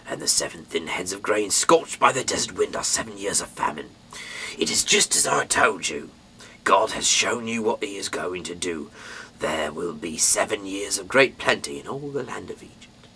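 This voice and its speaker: A man from the UK reading scripture aloud in a dramatic, halting way, swinging between emphatic bursts and breathy, hushed delivery. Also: low-pitched, animated, slight background noise. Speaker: Bible reader, amateur narrator.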